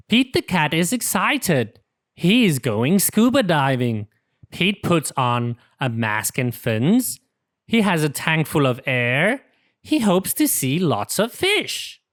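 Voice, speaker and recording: A woman's voice: deep voice, no echo, studio quality. A woman reading a picture book aloud to young children, enunciating simple sentences carefully. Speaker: children's story reader.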